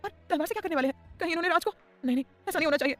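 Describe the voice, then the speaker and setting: A woman's voice: extremely high pitch, racing, voice pushed up. A woman voicing a frightened young woman in an audio story, who pleads and cries out in fear. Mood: terrified.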